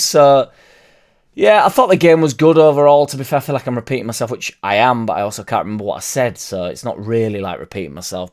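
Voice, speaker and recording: Hoarse man ranting. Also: mid-range voice. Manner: loud bursts, swearing, drawn-out shouts. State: hoarse, partly lost voice. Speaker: football fan vlogger. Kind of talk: casual rambling fan vlog.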